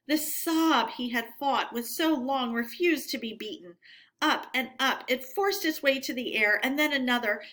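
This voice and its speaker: A woman narrating a storybook read-aloud in an expressive, measured, teacherly way. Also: high voice.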